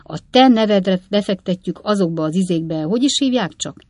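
A woman's voice: mid-range voice, expressive, darkish tone, dry recording, pitched above the performer's own voice, natural voice. A woman voicing an old coachman father in a storybook reading, grumbling and reasoning pompously with emphatic indignation. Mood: earnest. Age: older.

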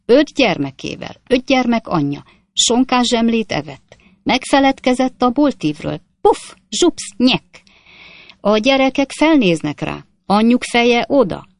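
A woman's voice: low-pitched, gravelly, a little mellow, no room sound, studio quality. A woman voicing a boastful, talkative male swindler who speaks in short, clipped fragments. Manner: clipped, breathless fragment sentences. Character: boastful chatty male swindler, young gent.

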